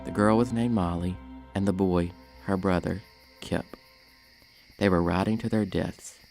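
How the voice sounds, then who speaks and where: A man's voice: low-pitched, steady tone, unhurried, creaky, a little mellow. A young man narrating a story from a script. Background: music.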